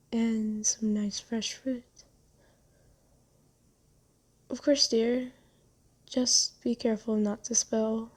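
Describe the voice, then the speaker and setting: A woman playing a gentle, genteel vampire in an ASMR roleplay, soft-spoken, comforting and unhurried. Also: on the high side. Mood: kindly hospitable. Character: gentle, soothing, genteel vampire who bought a captive. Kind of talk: ASMR roleplay, scripted character acting.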